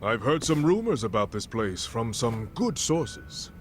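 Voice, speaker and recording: An actor playing a suave, pompous gentleman customer in a video game cutscene, formal and theatrical. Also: mid-range voice, sing-song, a little slow, a little hiss or hum. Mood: smugly polite.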